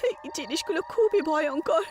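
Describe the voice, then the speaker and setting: Woman playing a high-pitched, timid young woman. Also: animated, moderate pace. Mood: nervous. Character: timid frightened young woman friend in haunted cinema. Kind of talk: animated horror story voice acting. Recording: loud music under the voice.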